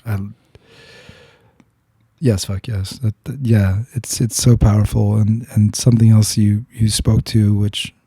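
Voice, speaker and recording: A man's voice: on the low side, level tone, a little slow, dark tone, breathy edge, dry recording. A podcast host talking through a personal story with his guest. He speaks earnestly, searches for words, restarts often, and swears bluntly. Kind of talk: candid storytelling in conversation.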